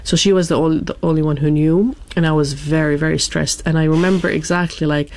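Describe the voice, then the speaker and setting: A woman's voice: low voice, steady tone, fairly quick, darkish tone, a little hiss or hum. Woman telling her story casually. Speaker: interview guest telling personal story.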